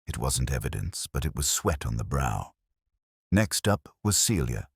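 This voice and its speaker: Male narrator for hard-boiled detective audiobook: extremely low voice, lively intonation, dry close sound. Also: a little slow, gravelly, breathy edge, no room sound. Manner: wry, hard-boiled storytelling. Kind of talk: scripted first-person detective story narration.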